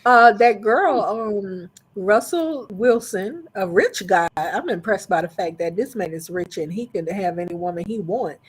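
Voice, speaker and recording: A woman's voice: mid-range voice, animated, measured pace, few pauses, slightly rough. A woman on a casual panel debate, telling stories in a chatty, candid way. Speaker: panel guest.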